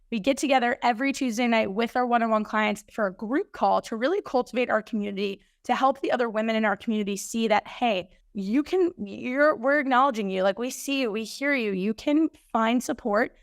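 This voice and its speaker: A woman hosting a fitness podcast, slightly hoarse, chatting upbeat about coming news. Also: a little high, dry recording, clean studio sound. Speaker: podcast host and fitness coach. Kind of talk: casual solo podcast intro.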